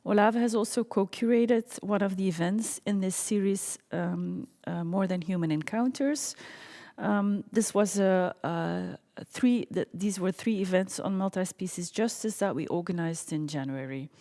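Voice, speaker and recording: Gravelly-voiced woman moderator with a long echo. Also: medium pitch, unhurried, mellow. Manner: hesitant, many fillers. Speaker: event moderator. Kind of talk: introducing speakers at a panel event.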